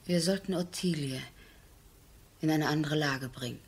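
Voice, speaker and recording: A woman acting in a 1970s German radio drama as a composed, resolute aristocratic wife, with measured, formal diction. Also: sits low, slight background noise. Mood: grave, reasoning. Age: middle-aged.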